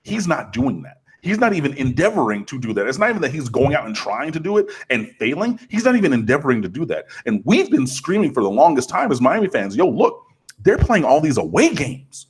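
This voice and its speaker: A man chatting casually as a college football podcast co-host, rambling and animated with lots of fillers. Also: mid-range voice, brisk, breathy edge. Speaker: co-host, Miami fan. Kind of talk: casual sports podcast chat.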